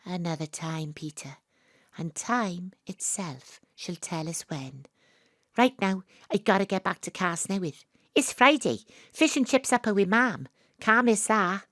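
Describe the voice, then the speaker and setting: A woman's voice: lowish voice, expressive, measured pace, a bit bright, no echo. Woman playing Astrid, plucky investigator, close dry sound. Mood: confident, breezy. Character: Astrid, plucky, self-assured female investigator narrating her adventure. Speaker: lead narrator. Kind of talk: dramatic first-person storytelling.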